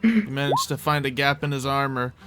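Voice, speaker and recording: A man's voice: medium pitch, lively intonation, fairly quick, loud music behind the voice. A man casually narrating a tabletop game as game master, in an informal, conversational way.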